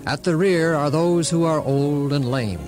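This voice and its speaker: A man's voice: on the high side, unhurried. A man narrating a dramatized Bible story in a solemn, formal storytelling manner. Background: music under the voice.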